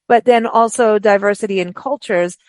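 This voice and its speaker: A woman hosting a radio interview, raised in Africa, who talks in a chatty, animated way with many restarts. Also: mid-range voice, moderate pace, no room sound. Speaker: radio show host. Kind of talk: casual interview chat.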